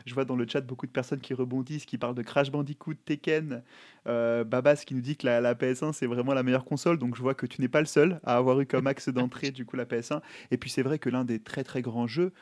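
A man's voice: mid-range voice, steady tone, fast, darkish tone. A man hosting a livestreamed interview, speaking with enthusiasm and many hesitations. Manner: enthusiastic, many fillers and restarts. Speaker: host.

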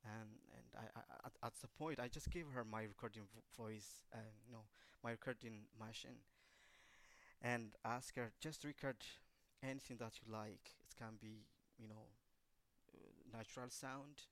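A man recounts his dance project in a halting, searching way, with lots of fillers along the way. His voice has a gravelly, creaky edge. It sits at a middling pitch for a man. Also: fairly even intonation, a bit bright, dry recording. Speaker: choreographer and dancer being interviewed. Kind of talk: casual interview, recounting a creative project.

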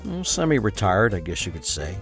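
Low-voiced, easygoing well-off husband played by a man. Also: big pitch swings, a little fast, fairly bright tone. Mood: reminiscing. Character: semi-retired businessman husband, easygoing and smug. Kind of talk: audio drama acting. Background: music.

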